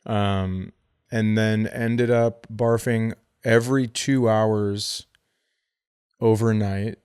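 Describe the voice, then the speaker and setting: A man co-hosting a podcast, telling gross stories in a lively, joking way while getting over being sick. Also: lowish voice, drawn-out words, clean tone, dry recording, studio quality. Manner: animated, graphic storytelling with playful teasing. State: recovering from illness, sore ribs. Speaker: podcast co-host. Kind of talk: casual comedic chat.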